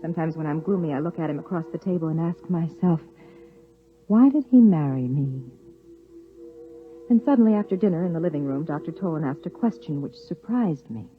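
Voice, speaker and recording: An actress playing a pensive, uneasy wife who narrates in a reflective, confiding way in a 1950s radio drama. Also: female voice, deep voice, animated, brisk. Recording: tinny 1950s radio recording, faint music.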